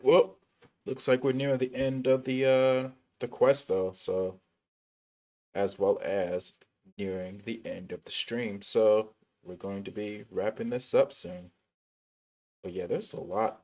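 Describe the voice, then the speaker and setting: A man's voice: lowish voice, unhurried, darkish tone. Stammering, slangy male game streamer. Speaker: game livestreamer. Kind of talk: casual livestream gameplay commentary.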